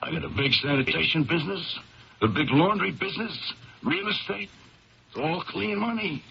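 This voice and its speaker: An actor playing a reformed ex-convict gangster in a radio drama, with rough, ungrammatical, earnest streetwise speech and a husky, raspy voice. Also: male voice, a little high, moderate pace, slight background noise. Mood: insistent. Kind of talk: 1970s radio drama acting. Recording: dull lo-fi 1970s radio broadcast.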